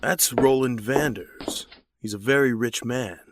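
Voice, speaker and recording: A man playing a jumpy, guilt-ridden accomplice to murder in a horror audio drama, with an excitable, theatrical delivery. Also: medium pitch, a little slow, heavy background noise, natural voice. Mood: confiding.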